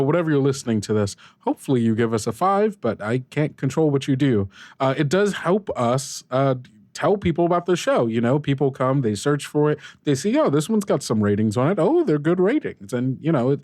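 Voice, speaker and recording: A man hosting a podcast, chatting casually and directly to listeners in a rambling, halting, self-deprecating way. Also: medium pitch, a little mellow, dry recording. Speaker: podcast host and creator. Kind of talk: casual radio-DJ style host chat to listeners.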